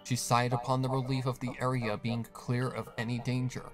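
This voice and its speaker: A man delivers scripted, dramatic narration of a horror tale. His voice sits at an ordinary middle pitch, and music plays clearly behind him. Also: flat delivery, brisk. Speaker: narrator of a horror fan fiction.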